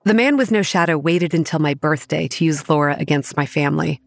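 A young woman acting as narrator of a horror audio drama, recounting grim childhood memories in a measured, matter-of-fact way. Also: low voice, gravelly, no room sound, clean studio sound. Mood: grave, measured. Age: young adult. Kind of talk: scripted first-person horror narration. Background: soft music.

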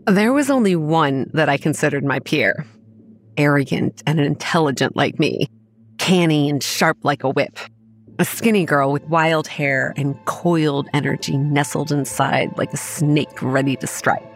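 An actress playing a self-assured young woman who manages a campground, narrating a horror audio drama in a measured, matter-of-fact way. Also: deep voice, faint music behind. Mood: measured, reminiscing. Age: young adult. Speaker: narrator and lead character. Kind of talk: scripted first-person horror drama narration.

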